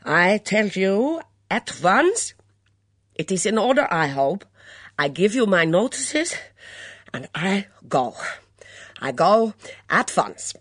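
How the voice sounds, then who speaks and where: A woman voicing an excitable young foreign housemaid who speaks loud, theatrical, broken English. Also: medium pitch, slow, long pauses, dry recording, studio quality, voice pushed up, heavily acted. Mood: dramatic.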